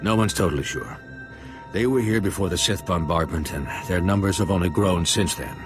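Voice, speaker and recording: An actor playing a grave, pessimistic security chief in a video game, matter-of-fact and grim. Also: male voice, low-pitched, fairly quick, music in the background, lightly acted. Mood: grim warning.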